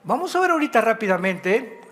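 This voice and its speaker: A man's voice: very high voice, sing-song, brisk, fairly bright tone. A Mexican man preaching a sermon-style history lesson, emphatic and a little tired, firing rhetorical questions at the congregation. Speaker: pastor. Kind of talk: sermon teaching. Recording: hall reverb.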